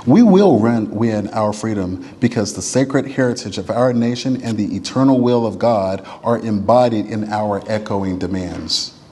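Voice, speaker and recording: A man reads a written letter aloud in a solemn, oratorical style, with deliberate, emphatic phrasing and slowed, falling endings to phrases. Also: lowish voice, warm tone. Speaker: reader at a church service. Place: church sanctuary. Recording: long reverb of a large hall.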